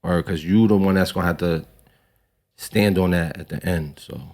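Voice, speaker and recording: A man's voice: sits low, moderate pace, a little mellow. A young man from Long Island, a rapper guest, talking casually in slang during an interview. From Long Island, New York. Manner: laid-back, slangy, repeats himself.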